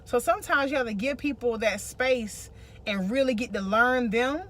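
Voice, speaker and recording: A woman's voice: on the high side, normal speed, a bit bright. An American woman co-hosting a relationship vlog, giving casual advice and repeating phrases for emphasis.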